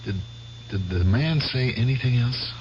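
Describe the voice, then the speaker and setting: An actor in a 1970s radio drama playing a nervous young husband who stammers and dodges questions. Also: male voice, medium pitch, expressive, a little slow, close to an everyday voice. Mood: evasive. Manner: evasive, stammering. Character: nervous young husband hiding his past. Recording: muffled old radio recording with loud hiss.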